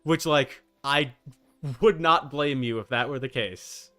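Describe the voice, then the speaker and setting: A man's voice: mid-range voice, unhurried, pushed and bright. A man livestreaming a game, chatting informally and teasingly with his viewers and swearing casually. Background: background music.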